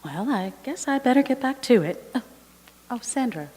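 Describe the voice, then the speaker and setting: A woman's voice: fairly high pitch, animated, moderate pace, mellow, lightly acted. A woman playing a breezy, self-satisfied office colleague in a radio drama, chatty and airy. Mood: breezy, smug. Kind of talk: stage radio drama acting. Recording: echoey hall, live stage performance.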